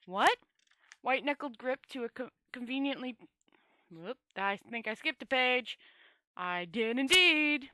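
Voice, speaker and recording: A woman with a high, very bright voice reads a fantasy story aloud in a dry, close recording. She halts over words, repeats herself and breaks into casual asides. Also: expressive, no room sound. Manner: halting, often stumbling over words and rereading. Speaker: amateur audiobook reader.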